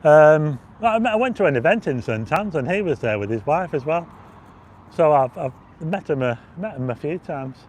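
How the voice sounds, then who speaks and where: A man's voice: on the high side, animated, normal speed, darkish tone, a little hiss or hum. Chatty man streaming outdoors. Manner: chatty, reads out viewer comments, frequent throat clearing. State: voice going hoarse. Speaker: livestream host. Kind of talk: casual walking livestream chat. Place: outdoors on a windy seafront at dusk.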